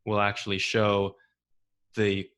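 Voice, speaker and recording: A man hosting a conversational business interview, phrasing his points and questions in a measured way with pauses. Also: on the low side, completely flat delivery, fairly bright tone, smooth. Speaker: interviewer, podcast host.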